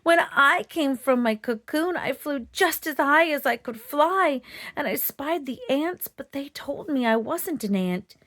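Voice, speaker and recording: Casting: small young girl pegasus for a storybook, played by a woman, high, swooping voice, plaintive and earnest. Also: lively intonation, unhurried, fairly bright tone, no echo. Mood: tearful, bewildered. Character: tiny young female winged horse, sad, searching, earnest. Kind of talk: children's storybook character voice.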